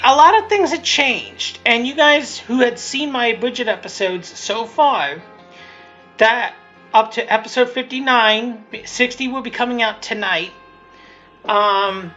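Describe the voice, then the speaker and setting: A woman's voice: a little high, pushed and bright. A woman giving a casual, unscripted commentary in a rambling, blunt way, often restarting and repeating her phrases. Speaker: creator of a fan abridged series.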